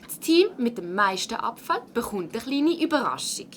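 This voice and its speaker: A woman playing a brisk, encouraging primary school teacher in a children's radio play, instructing a class in Swiss German. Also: on the high side, very animated, a bit bright, a little hiss or hum. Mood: brisk, instructive. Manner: instructive, orderly, addressing a class.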